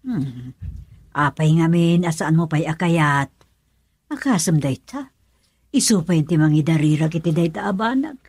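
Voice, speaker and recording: Husky, deep-voiced, questioning mother. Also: lively intonation, normal speed, pauses often, a little mellow, dry recording, studio quality, full character voice. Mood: concerned, probing. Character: concerned, questioning mother of a grown son. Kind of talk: Ilocano radio drama acting.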